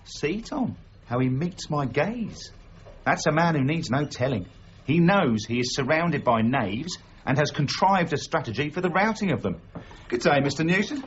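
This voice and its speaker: Glib flattering schemer, played by a man, cocky and animated, period radio drama with some noise. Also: on the high side, moderate pace, dull audio, natural voice. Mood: flattering, oily. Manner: smooth-talking, cocky, ingratiating.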